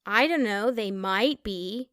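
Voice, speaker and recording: A woman's voice: medium pitch, slow, fairly bright tone, clear, resonant tone. A woman playing a timid young niece of a villain in an audio drama, hesitant and subdued. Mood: hurt, hesitant.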